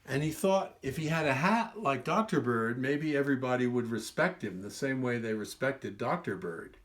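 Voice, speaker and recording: A male storyteller with a medium-pitched voice reads a picture book aloud to children. He stretches words for emphasis and steps out of the tale to add small explanations. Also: animated, normal speed, fairly bright tone. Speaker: storyteller reading his own book.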